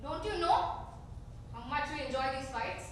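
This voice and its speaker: A woman's voice: sits high, expressive, moderate pace, slight background noise. A woman playing a bitter, combative married daughter in a staged play reading, scornful and taunting. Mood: scornful, heated. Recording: very echoey theater, far from mic.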